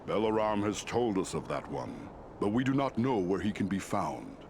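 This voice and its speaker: An actor playing a stately, wise lord of giant eagles in a fantasy game, speaking with regal formality. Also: male voice, lowish voice, very animated, moderate pace, background noise. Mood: grave, measured. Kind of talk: fantasy game cutscene acting.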